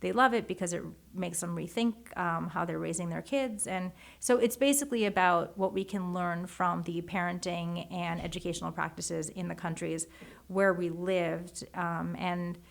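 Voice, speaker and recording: Low, gravelly-voiced woman, Japanese native. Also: normal speed. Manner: animated, many restarts and self-corrections. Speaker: guest, author. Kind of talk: casual interview conversation.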